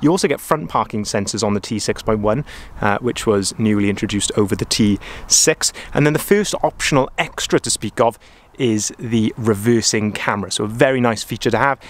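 A man's voice: lowish voice, brisk. Upbeat salesman walking around a van. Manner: upbeat, enthusiastic, frequent ums and uhs. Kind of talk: walkaround product review. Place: outdoor car lot beside a van. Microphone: camera-mounted mic, filming while walking.

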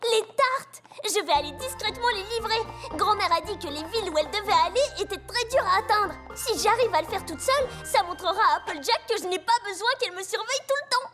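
A woman voicing a plucky young filly in a cartoon, an insistent, expressive and exasperated little sister. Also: very high voice, brisk, hardly any pauses. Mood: excited. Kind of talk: animated cartoon dub acting. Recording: music behind the voice.

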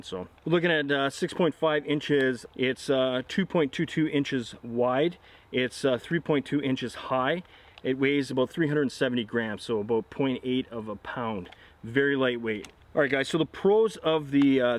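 Man giving a casual gear review. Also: mid-range voice. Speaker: product reviewer. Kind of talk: casual product review to camera.

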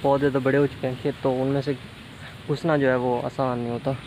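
A man's voice: medium pitch, little pitch movement, fairly quick, a little mellow, low-quality recording. A young man in his twenties walking through farm fields and casually explaining what he is doing while filming himself on a phone. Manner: casual and explanatory, mixes English words into his talk. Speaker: vlogger. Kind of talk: casual walking vlog narration. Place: outdoors among sugarcane fields and dirt paths. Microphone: phone camera held at arm's length. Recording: outdoor phone audio with clear background noise.

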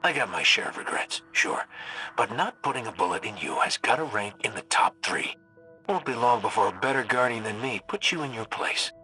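An actor playing a cocky, wisecracking robot gunslinger in a video game, with an American accent and a wry, folksy, sardonic way of talking. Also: male voice, fairly low pitch, animated, fairly quick, bright, forceful tone, faint music behind, poor audio. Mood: wry menace. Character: cocky wisecracking male robot gunslinger, roguish hunter leader. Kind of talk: video game voice acting, recorded farewell messages.

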